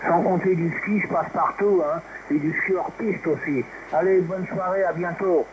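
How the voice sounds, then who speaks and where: A man's voice: high voice, moderate pace. Rambling man on a noisy ham radio. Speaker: amateur radio operator. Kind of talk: casual radio chat, reminiscing. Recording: dull, noisy shortwave radio reception.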